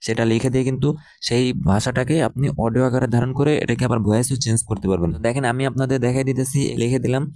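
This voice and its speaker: Fast, flat-toned man presenting. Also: medium pitch, slight background noise. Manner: repetitive, instructional, addressing viewers. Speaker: tutorial presenter. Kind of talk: app tutorial narration.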